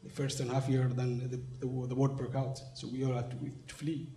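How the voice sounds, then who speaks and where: A man's voice: medium pitch, completely flat delivery, brisk, dark tone. A man in his forties from Somalia, speaking English as an in an interview, hesitant with many fillers and restarts. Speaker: interviewee, organization representative. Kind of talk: conversational interview. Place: conference venue, indoors. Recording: echoey room sound.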